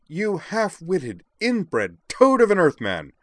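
A man's voice: low voice, moderate pace, a bit bright, dry recording. Haughty, scornful sci-fi storybook woman played by a male reader, biting and formal, dry close sound. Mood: furious. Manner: haughty, snapping, formal wording. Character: imperious, scornful, sharp-tongued woman who despises Earthmen.

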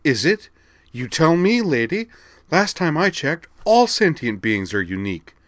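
A man's voice: mid-range voice, moderate pace, fairly bright tone, dry recording, voice pushed up, close to an everyday voice. A man reading the part of an outraged young alien woman student, snappish and indignant.

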